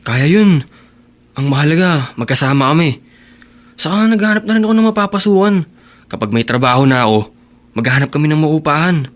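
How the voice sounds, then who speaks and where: A Filipino actor playing a devoted, proud teenage runaway husband in a radio drama, speaking earnestly. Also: male voice, on the high side, animated, a little fast, pauses often, lightly acted. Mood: earnest, resolute. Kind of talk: Tagalog radio drama acting.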